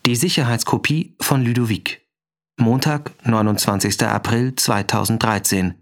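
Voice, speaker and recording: A man delivers scripted scene headings for a radio drama. He sounds factual and concise, like reading datelines, in a medium-pitched voice. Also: steady tone, normal speed, slight background noise.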